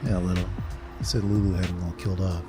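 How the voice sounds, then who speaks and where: An actor playing a wisecracking, weary police detective in an audio drama, sardonic and profane. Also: male voice, low-pitched, level tone, quick pace, over music, natural voice. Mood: weary, wary.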